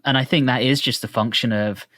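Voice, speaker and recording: A man's voice: medium pitch, fairly even intonation, brisk, a bit bright, dry recording. A man co-hosting a podcast, talking casually and reflectively as he explains his thoughts on music. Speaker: podcast host.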